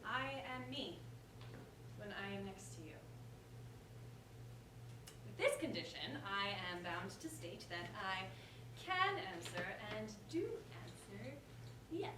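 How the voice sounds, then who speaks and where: A woman's voice: medium pitch, very animated, slow pace, long pauses. A woman playing a proud, witty common woman in a staged verse comedy, with emphatic theatrical delivery. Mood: earnest. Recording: roomy hall, distant mic, clear noise.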